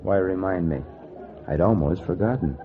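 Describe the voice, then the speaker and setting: An actor playing a cocky, money-hungry gambler in a 1940s radio noir, with clipped, wry, slangy delivery. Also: male voice, low-pitched, normal speed. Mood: cool, flirtatious. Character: hard-boiled, cocky male gambler, broke and money-hungry. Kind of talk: 1940s radio noir acting, first-person narration. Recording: dull vintage radio recording with music. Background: music.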